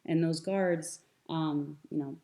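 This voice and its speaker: A woman's voice: sits low, steady tone, takes it slowly, warm tone. A young woman from the United States, speaking as a guest in an earnest, thoughtful panel conversation, with frequent fillers and restarts.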